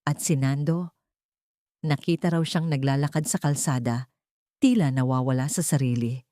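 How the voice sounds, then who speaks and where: A woman narrator voicing an effusive, gossiping elderly high-society lady in a dramatic story. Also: moderate pace, mellow, no echo, clean studio sound. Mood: admiring, gossipy. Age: older.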